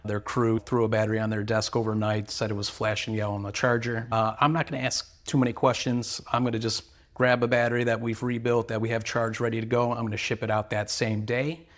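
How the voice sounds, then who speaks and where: A man's voice: fairly low pitch, little pitch movement, fairly quick, hardly any pauses. A man, an earnest, sales-minded company representative explaining products and service in interview answers.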